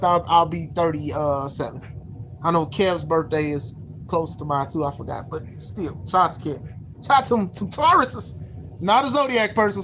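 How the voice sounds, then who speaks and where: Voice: mid-range voice, a bit bright, slight background noise, rough recording. A podcast host in their thirties giving casual opinion commentary, rambling and repeating words, with slangy phrasing. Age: 30s.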